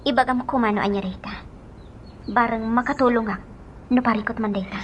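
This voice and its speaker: An earnest, kindly young woman, acted by a woman in a radio drama, asks questions with concern. Her pitch sits fairly high, and there is noisy outdoor-like ambience behind her. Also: fairly even intonation, brisk, highs cut off, lightly acted.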